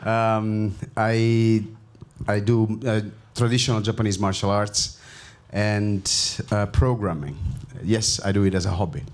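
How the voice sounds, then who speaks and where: A man's voice: lowish voice, fairly even intonation, measured pace. A man with an Italian accent answering panel questions on stage, hesitant and self-deprecating with many restarts. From Italy. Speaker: panel guest. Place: conference stage before an audience.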